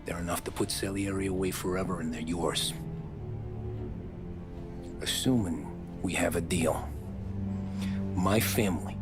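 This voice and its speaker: An actor playing a weary ex-mobster offering a deal in a video game cutscene, calm and measured. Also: male voice, low voice, loud music behind the voice. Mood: quiet.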